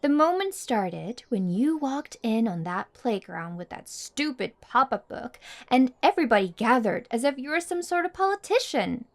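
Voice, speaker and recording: A woman playing a mean, smug, obsessive popular high school girl in an intimate ASMR roleplay, taunting and boastful. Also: sing-song. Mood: wistful, bitter. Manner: taunting, boastful, condescending, drawn-out teasing. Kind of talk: intimate ASMR roleplay monologue addressed to listener.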